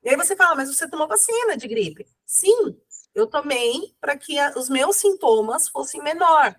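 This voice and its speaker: Woman with a cold, high voice, rambly casual interview talk. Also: animated, moderate pace. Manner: rambling, many fillers and self-corrections. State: has a cold.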